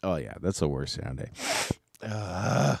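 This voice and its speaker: A man's voice: deep voice, big pitch swings, takes it slowly, gravelly, darkish tone, dry recording, clean studio sound. A man hosting a casual solo livestream chat, sounding stuffed-up and a little nasal, rambling and chuckling as he loses his train of thought. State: stuffed-up nose. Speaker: livestream host.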